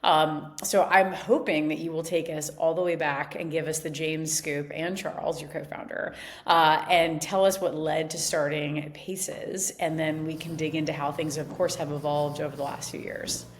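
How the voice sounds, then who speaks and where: A woman's voice: sits low, steady tone, moderate pace. A woman hosting a conversational podcast interview. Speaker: podcast host and investor.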